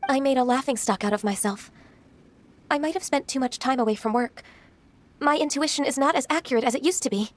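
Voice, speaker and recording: An actress playing an earnest young female official in a video game, speaking in a formal, measured and courteous manner. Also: high-pitched, close to an everyday voice. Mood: apologetic. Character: polite, solemn, earnest young official woman.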